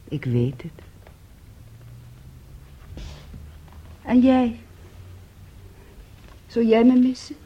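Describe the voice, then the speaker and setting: Wounded intense young woman in an old radio drama, highish soft-edged voice, hushed, weary, muffled sound. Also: big pitch swings, takes it slowly, husky, heavily acted. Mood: tender, resigned. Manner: hushed, intimate, often dropping to a whisper. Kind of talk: Dutch radio play acting.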